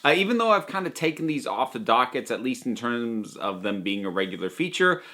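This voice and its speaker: A man giving a conversational review, stressing words emphatically with dramatic pauses. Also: mid-range voice, sing-song, pushed and bright.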